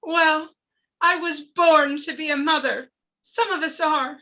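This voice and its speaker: Woman playing a high-voiced, gushing would-be mother. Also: fairly even intonation, a little slow, pushed and bright, lo-fi sound, full character voice. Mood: earnest, yearning. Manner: gushing, polite, earnest. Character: gushing, earnest wife yearning for motherhood. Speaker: actor in a live table read. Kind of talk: screenplay table read.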